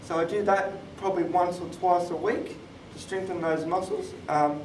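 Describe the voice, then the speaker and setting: Male, twenties, high voice, explanatory panel speaker; recorded far from the mic in an echoey room. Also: fairly even intonation, moderate pace, a little mellow. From born in Thailand, moved to Australia. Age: 20s. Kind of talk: personal talk on a live panel podcast. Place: conference room, live event. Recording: roomy, distant mic, clear background noise.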